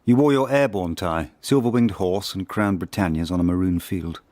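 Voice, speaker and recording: An actor playing an ageing spy in a radio drama monologue, reflective and confessional, with measured, intimate, literary delivery. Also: male voice, deep voice, darkish tone, no echo, lightly acted. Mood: wistful, reflective. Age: older.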